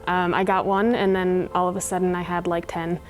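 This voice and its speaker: A woman's voice: lowish voice, level tone, a little fast, poor audio. A young woman from Colorado, a film student, speaking in a wry, self-deprecating way in an interview. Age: young adult. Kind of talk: documentary interview. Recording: music bed under the voice.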